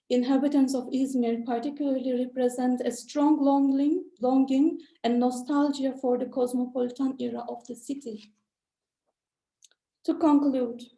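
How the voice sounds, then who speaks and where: A woman reads her research paper aloud in a measured, formal manner. Her voice sits high yet mellow, with a gravelly fry at the ends of phrases, and the room around her is noticeably echoey. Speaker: conference presenter. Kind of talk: read academic presentation.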